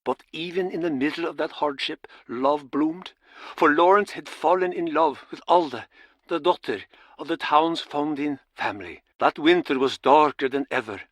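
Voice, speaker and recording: A solemn male storyteller from an adventure game, played by an actor, recounts an old legend in measured, dramatic phrases. His voice sits somewhat high for a man. The recording is dry and close. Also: no echo, lightly acted.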